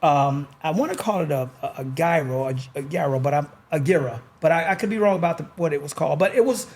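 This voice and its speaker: A man hosting a podcast, talking in a relaxed, rambling way with lots of pauses and fillers. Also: fairly high pitch. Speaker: podcast host. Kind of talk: casual chat.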